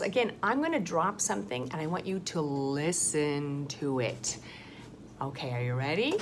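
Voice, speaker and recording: A woman teacher talks to first graders in a loud, bright voice that swings up and down. She takes her time over every phrase, like someone explaining carefully to small children. A faint hum of room noise sits behind her. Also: a little high. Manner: slow, sing-song teacher talk addressing children. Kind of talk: recorded classroom lesson for children.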